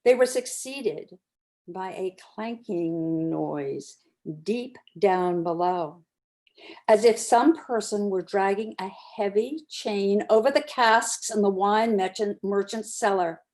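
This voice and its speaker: A woman reading classic literature aloud as narrator, with expressive, deliberate dramatic storytelling. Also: mid-range voice. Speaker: narrator, community reader.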